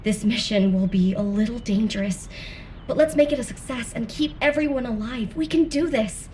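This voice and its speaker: A woman's voice: on the high side, moderate pace, mellow, recorded from a distance, a little hiss or hum, lightly acted. An actress playing a caring, earnest young female scout in a video game, talking in a friendly, encouraging way. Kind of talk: scripted video game dialogue.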